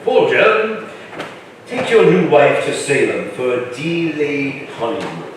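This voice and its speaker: An actor playing an imperious, shrewd older colonial governor with a formal, wry, commanding manner in a staged reading. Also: male voice, mid-range voice, sing-song, takes it slowly. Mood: brisk, shrewd. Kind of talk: staged reading of a historical play. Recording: echoey room from far off, faint noise.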